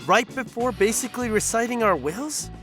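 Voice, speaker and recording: A nervous, lovestruck young recruit in an audio drama. The delivery is hesitant and wry with very animated pitch, over faint music. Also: female voice, normal speed.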